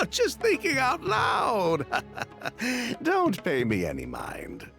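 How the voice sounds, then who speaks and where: Game role: courtly young gent, theatrical and obliging, gravelly edge, hugely swooping delivery. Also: male voice, very high-pitched, wildly swooping pitch, drawn-out words, sharp tone, faint music behind, full character voice. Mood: jovial, obliging. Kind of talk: anime game voice acting.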